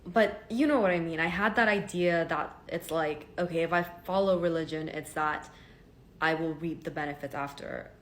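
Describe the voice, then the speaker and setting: A woman's voice: sits low, normal speed, slight background noise. Chatty young woman from Hong Kong. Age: 20s. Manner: chatty, earnest, many "like" fillers. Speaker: vlogger. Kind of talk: casual talking-to-camera story. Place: home.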